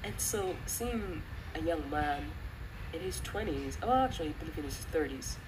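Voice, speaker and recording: Low-voiced woman, noisy distant recording. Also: animated. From Western country, of Rwandan descent. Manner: earnest, reflective, halting with pauses. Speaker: channel host giving commentary. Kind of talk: personal spoken commentary. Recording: distant mic, clearly noisy background.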